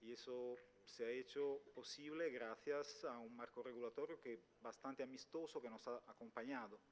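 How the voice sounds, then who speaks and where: Guy in his 40s presenting at a conference table mic, lots of ehs and ahs, mid-pitched voice, big hall. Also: fairly even intonation, normal speed, a little hiss or hum. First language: Italian. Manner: hesitant, frequent filler sounds, restarts. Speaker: company executive speaker. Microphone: table microphone.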